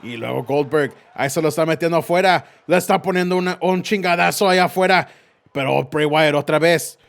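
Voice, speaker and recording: A man voicing an excitable male Mexican football commentator, calling wrestling in rapid play-by-play that builds to screaming calls. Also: a little high, gravelly. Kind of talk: mock sports commentary.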